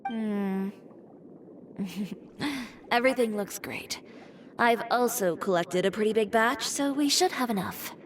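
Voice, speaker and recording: A woman's voice: a little high, unhurried, a little hiss or hum, lightly acted. A woman playing a blunt, fiercely determined young female fashion designer in a video game, composed, dry and self-assured. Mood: pleased. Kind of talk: video game character acting. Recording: game music behind voice. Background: game music.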